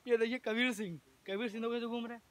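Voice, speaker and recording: A man's voice: very high-pitched, fairly even intonation, fast, a bit bright, slight background noise. A young man vlogging outdoors at a farm house, joking and talking straight to a phone camera held at arm's length. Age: young adult. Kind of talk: casual vlog narration. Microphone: phone selfie camera at arm's length.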